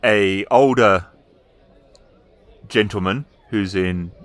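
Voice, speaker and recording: A man narrating a tabletop role-playing game as storyteller, improvising descriptions with hesitant pauses. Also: lowish voice.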